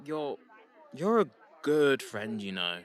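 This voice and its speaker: A man's voice: mid-range voice, very animated, slow pace. A man playing a drunk, boisterous crew member who slurs and turns sentimental. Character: drunk, rowdy young male crew member.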